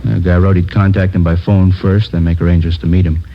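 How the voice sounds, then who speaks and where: An actor playing an offhand, businesslike detective in a 1950s radio drama. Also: male voice, deep voice, little pitch movement, fast talker. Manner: laconic, offhand. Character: casual, businesslike male bunko detective. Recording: dull old radio recording.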